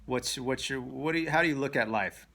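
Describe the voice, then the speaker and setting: A man with an average-pitched voice hosts a casual one-on-one interview. He talks loosely, restarting phrases and doubling words as he gathers his thoughts. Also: brisk, vocal fry, sharp tone.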